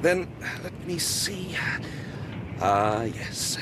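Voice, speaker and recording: An actor playing a charming, vain Victorian stage star in a radio drama, with a theatrical, courtly manner. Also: male voice, a little high, animated, very slow, noisy background. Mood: gallant charm.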